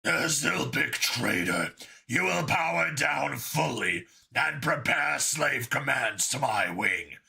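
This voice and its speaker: A man voicing an angry giant bug military commander in an audiobook, with a gravelly deep voice and slow, imperious delivery. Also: expressive, bright, forceful tone, full character voice. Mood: menacing command.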